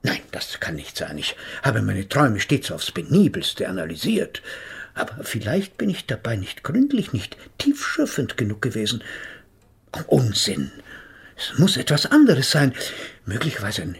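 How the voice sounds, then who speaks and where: An actor playing a pompous, self-analysing psychotherapist in a radio play, speaking in a stilted, long-winded, old-fashioned way. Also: male voice, mid-range voice, huge pitch swings, normal speed, gravelly, husky, full character voice. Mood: agitated, analytical. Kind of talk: German radio play acting.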